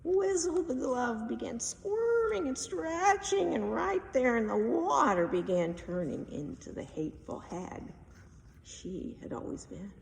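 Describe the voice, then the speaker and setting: A woman narrates a children's story dramatically, with very lively pitch and an unrushed pace. The voice sounds distant in an echoey hall, over faint noise. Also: measured pace, gravelly. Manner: dramatic, emphatic. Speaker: read-aloud storyteller.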